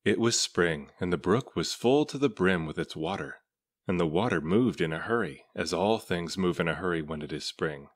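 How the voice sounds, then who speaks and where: A man with a low voice narrates a children's storybook. He reads aloud in measured, deliberate phrases. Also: animated. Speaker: storybook reader.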